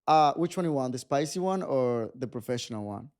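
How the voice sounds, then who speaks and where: A man's voice: mid-range voice, lively intonation, a little fast, a little mellow, clean tone. A man in his twenties with a Mexican accent, a podcast guest chatting casually and animatedly, often restarting his sentences. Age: 20s. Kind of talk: casual chat.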